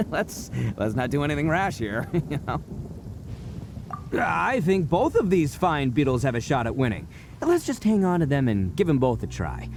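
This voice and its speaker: Brash boastful oni brawler. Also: male voice, fairly high pitch, moderate pace, noisy background. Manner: boisterous, laughing, animated. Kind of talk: video game voice acting. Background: game music.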